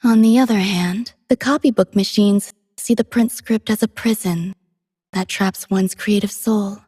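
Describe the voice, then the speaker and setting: A woman voicing a gentle, devoted young woman in a video game, soft, composed and measured in her delivery. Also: medium pitch, steady tone, breathy edge, dry recording, studio quality. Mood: composed, instructive. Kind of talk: scripted game character voice lines.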